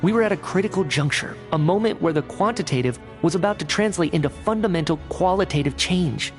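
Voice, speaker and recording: A young male video game scientist with a fairly high voice. He lays things out in precise, articulate phrases, rational and matter-of-fact, over background music. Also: expressive, brisk. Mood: explanatory. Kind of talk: video game voice acting, expository dialogue.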